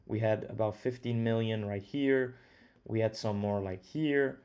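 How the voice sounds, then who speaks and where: A man's voice: fairly low pitch, fairly even intonation, unhurried, mellow, clean tone. A young man with an Italian accent hosting a live trading stream from home, talking casually and thinking aloud with fillers. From Italy. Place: his home.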